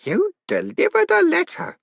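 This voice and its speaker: A man voicing a fussy, easily agitated little old male postman who talks in a high, shrill voice. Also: huge pitch swings, normal speed, gravelly, no echo, lo-fi sound, heavily acted. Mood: horrified.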